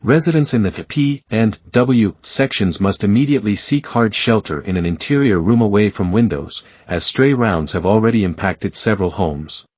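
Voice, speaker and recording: A man reading a scripted emergency alert bulletin in a formal, even, measured way. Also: lowish voice, husky, dry recording. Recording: dull lo-fi dry broadcast audio.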